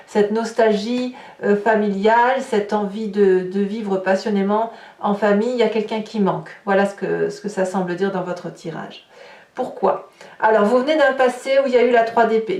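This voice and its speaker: A woman's voice: a little high, darkish tone. A woman giving an improvised tarot reading to viewers, explaining in a searching way and often rephrasing as she goes. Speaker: tarot reader. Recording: roomy echo, distant microphone.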